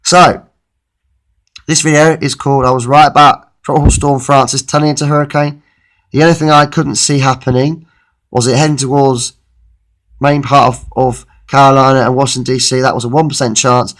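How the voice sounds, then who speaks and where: A man of thirty-one, losing his voice with a cold, gives an informal, halting weather forecast commentary and often repeats himself. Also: medium pitch, level tone, a bit bright. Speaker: amateur weather vlogger.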